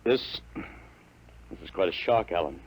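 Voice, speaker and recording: A man playing a bewildered, doubting father in a 1950s radio drama, speaking haltingly and in disbelief. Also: deep voice, creaky, a little hiss or hum, exaggerated voice. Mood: skeptical. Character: bewildered middle-aged father.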